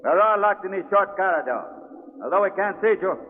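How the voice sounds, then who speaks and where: A man's voice: high-pitched, expressive, brisk, narrow, tinny audio. An actor playing a ruthless, gloating smuggler sea captain with sneering tough-guy slang, in 1940s radio drama style. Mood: sneering, threatening. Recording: thin, noisy 1940s radio recording.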